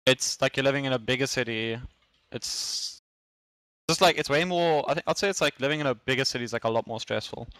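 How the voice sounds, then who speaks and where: A man's voice: medium pitch, brisk, fairly bright tone, no room sound. A young man with a South African accent chatting casually as a podcast guest, in a hesitant, repetitive, slangy way. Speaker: podcast guest, esports player. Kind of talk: casual chat.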